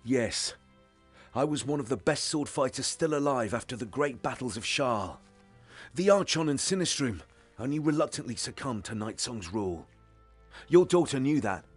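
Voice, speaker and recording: An actor playing a loyal, reverent sword-fighter in a fantasy game, with a formal, solemn storytelling cadence. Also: male voice, mid-range voice, lively intonation, normal speed, breathy edge, soft background music. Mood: respectful. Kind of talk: fantasy game cutscene acting.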